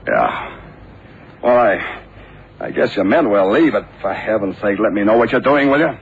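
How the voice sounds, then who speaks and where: An actor playing an agitated, aging federal narcotics chief in a radio crime drama, blustering and hesitant. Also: male voice, lowish voice, sing-song, moderate pace. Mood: uneasy, apologetic. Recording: tinny, noisy vintage radio recording.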